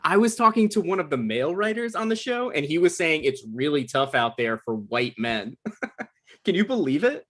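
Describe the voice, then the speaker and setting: An actor playing an awkward, eager, put-upon young job seeker with a halting, flustered way of talking in a comedy table read. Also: male voice, fairly high pitch, big pitch swings, a bit bright. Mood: eager, hesitant.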